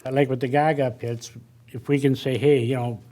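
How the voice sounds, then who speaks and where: A man's voice: medium pitch, little pitch movement, normal speed, warm tone. Older man speaking plainly at a meeting. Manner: plain-spoken, thinks aloud with fillers. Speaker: parks commissioner. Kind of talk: public meeting discussion. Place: city council chamber. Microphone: desk microphone.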